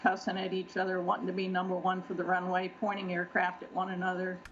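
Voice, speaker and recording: Mature female interviewee, extremely monotone, listing experiences over a dull, lo-fi recording. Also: on the low side, fast. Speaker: former air traffic controller, whistleblower interviewee. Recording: dull lo-fi sound, faint noise.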